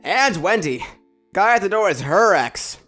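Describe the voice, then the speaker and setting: Man as an animated, hesitant teen boy. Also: high voice, unhurried, faint music behind, exaggerated voice. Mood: unsure, dry. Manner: hesitant, earnest. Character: friendly, hesitant, anxious teenage boy. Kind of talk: fan cartoon voice acting.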